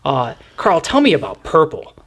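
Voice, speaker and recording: A man's voice: a little high, wildly swooping pitch, normal speed, fairly bright tone. A middle-aged man hosting a music podcast, talking casually and explaining things in a reflective way.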